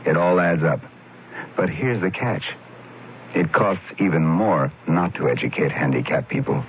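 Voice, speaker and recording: A male announcer with an extremely deep voice makes a matter-of-fact public service appeal. The recording is dull and lo-fi, with a faint hiss. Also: unhurried.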